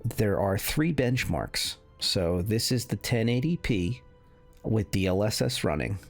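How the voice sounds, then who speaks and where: Male gaming creator, deep creaky voice, halting off-the-cuff explainer commentary, soft music bed. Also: animated. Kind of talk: casual unscripted benchmark commentary.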